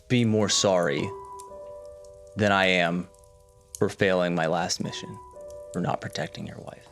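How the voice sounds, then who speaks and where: A man's voice: sits low, creaky. A man playing a disgraced soldier, defiant yet remorseful, hesitant and earnest in an improvised tabletop roleplay.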